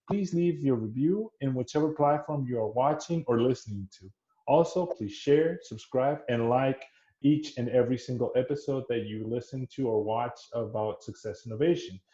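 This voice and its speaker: A man's voice: medium pitch, dark tone, reverberant, highs cut off. A man hosting an interview podcast, with a formal, earnest way of speaking that often repeats words. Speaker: podcast host.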